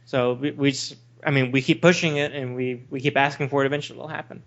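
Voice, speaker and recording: A man's voice: mid-range voice, steady tone, fast, a bit bright. A man co-hosting a podcast, talking casually in an opinionated, rambling way. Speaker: podcast co-host. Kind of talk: casual discussion of video games. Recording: dull lo-fi voice recording.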